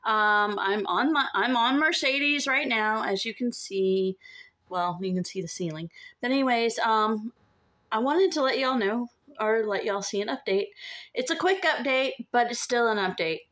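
A middle-aged woman gives a casual, chatty update to camera, pausing often as she goes. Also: a little high, fairly bright tone. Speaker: vlogger. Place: inside an empty converted school bus. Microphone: phone at arm's length.

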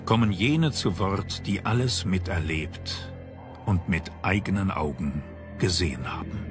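Man, lower voice, scripted documentary narration, measured and dramatic, background music. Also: lively intonation. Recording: music behind the voice.

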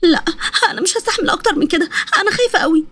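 Woman playing a high-voiced, exasperated young Egyptian wife. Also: animated, very fast, no room sound. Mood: tearful, scared. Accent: Egyptian Arabic. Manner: emotional, complaining. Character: young pregnant wife, anxious, exasperated, dramatic.

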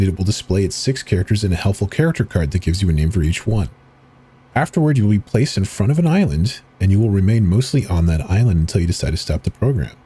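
A man giving a slow, deliberate dramatic reading of forum posts and web pages in an ominous tone. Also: on the low side, dark tone, breathy edge. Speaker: channel host reading horror texts.

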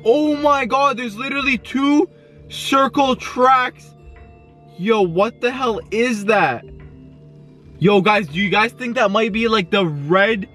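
A young man talks into a camera inside a parked car. His voice sits fairly high, he is hyped and exclamatory, and faint music plays under him. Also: slow pace, fairly bright tone. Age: 20s. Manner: hyped, exclamatory, lots of yo and oh my god. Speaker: YouTuber. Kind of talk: excited vlog chat. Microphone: camera facing him in car.

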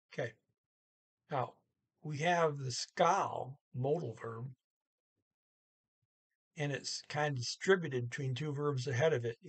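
An older man in his sixties teaches a language in an informal way. His voice is husky and he moves slowly, setting words apart with deliberate pauses. His pitch sits in the middle for a man. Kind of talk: informal Norwegian lesson, word-by-word glossing. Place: small room indoors at home. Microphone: webcam or computer mic at arm's length.

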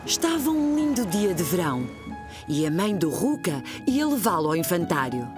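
Casting: female storyteller for children, European Portuguese accent, slightly low voice, lively intonation. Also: normal speed, over music. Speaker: narrator.

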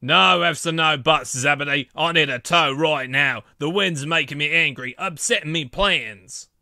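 A man's voice: fairly high pitch, measured pace, creaky, sharp tone, no room sound, studio quality, full character voice. A man playing a shady cartoon smuggler boat, sly and wheedling with a threatening manner. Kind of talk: cartoon villain voice acting.

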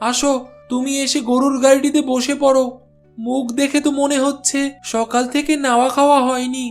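A man's voice: very high-pitched, flat delivery, moderate pace. A man voicing a kindly village cart driver in a cartoon, speaking in a reassuring, neighbourly way. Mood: concerned. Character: helpful village bullock-cart porter, kindly male friend. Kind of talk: Bengali cartoon dialogue. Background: soft music.

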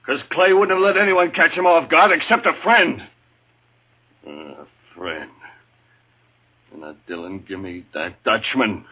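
A man's voice: medium pitch, a little slow, long pauses, bright, forceful tone, breathy edge. A man playing a vengeful, accusing townsman in a 1950s radio western, menacing and confrontational. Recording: dull vintage radio recording.

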